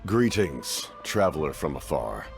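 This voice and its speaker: A formal, secretive schemer from a fantasy game, with an extremely low, creaky voice. He speaks deliberately and courteously, yet his intonation swings widely. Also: sing-song, soft background music, exaggerated voice. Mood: grave, courteous. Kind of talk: fantasy video game voice acting.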